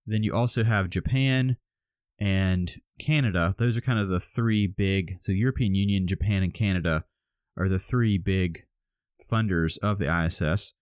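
A man's voice: deep voice, normal speed, darkish tone, clear, resonant tone, dry recording, clean studio sound. A man co-hosting a podcast, explaining a topic step by step in casual chat, a little groggy. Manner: explains step by step with many restarts. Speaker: podcast co-host.

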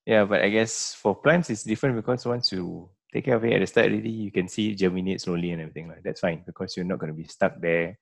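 Young Singaporean male co-host, gravelly and lowish, casual podcast banter. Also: fast talker, few pauses. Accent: Singaporean English. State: coughing from haze. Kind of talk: casual chat.

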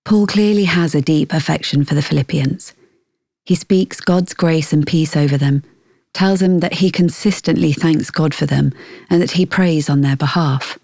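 Low, mellow woman reading. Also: moderate pace, gravelly, no echo, studio quality. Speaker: podcast host and devotional reader. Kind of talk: scripted devotional narration.

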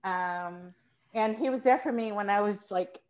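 A woman chatting as a podcast guest, telling stories in an animated, self-mocking way. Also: mid-range voice, measured pace. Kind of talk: casual conversational storytelling.

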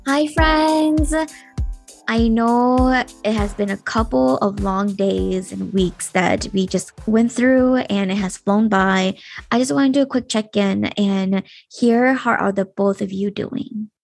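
A woman's voice: medium pitch, slow, gravelly, faint music behind. A woman co-hosting a podcast in casual chat, welcoming listeners and checking in on her co-hosts. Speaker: podcast co-host.

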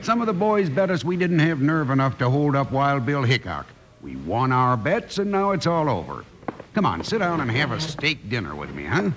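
An actor playing a slick American western outlaw, falsely friendly and commanding, in old-time radio style. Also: male voice, mid-range voice, sing-song, moderate pace, fairly bright tone. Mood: smooth, evasive. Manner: breezy, mocking, commanding. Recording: noisy vintage radio recording.

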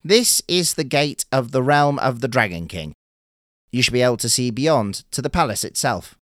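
A man voicing a kindly, calm little male sea turtle in a storybook tale, speaking slowly and courteously. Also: mid-range voice, no room sound, studio quality, voice pushed up, close to an everyday voice. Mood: gentle, earnest. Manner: slow, gentle and courteous. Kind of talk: storybook character voice.

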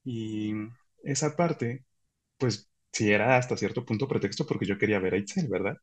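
A man from Mexico City speaking in a measured, conversational way about his relationship. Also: on the low side. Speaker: guest, partner of Itzel. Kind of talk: casual conversation.

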